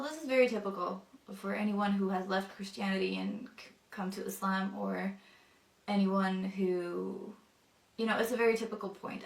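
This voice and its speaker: A young woman, not a native English speaker, telling her personal testimony thoughtfully, with many pauses and fillers. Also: medium pitch, vocal fry, fairly bright tone, reverberant, distant mic. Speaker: convert telling her story.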